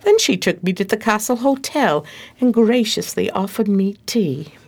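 A woman playing a loyal, long-suffering detective's assistant, narrating and acting in a slightly prim, expressive radio play style. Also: medium pitch, normal speed, slight background noise, close to an everyday voice.

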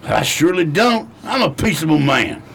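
A smug grifter posing as a Westerner acted by a man in a crime radio drama. His gravelly voice swings up and down in a drawling, folksy way. Background noise is clear. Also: a little high, big pitch swings, moderate pace, fairly bright tone, exaggerated voice. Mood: mild, sly. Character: sly male con man posing as peaceable Westerner.

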